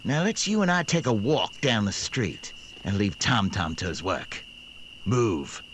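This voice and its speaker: An actor playing a coolly menacing western outlaw who gives slow, clipped orders in a radio drama. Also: male voice, lowish voice, big pitch swings, a bit bright, slight background noise. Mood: cool threat.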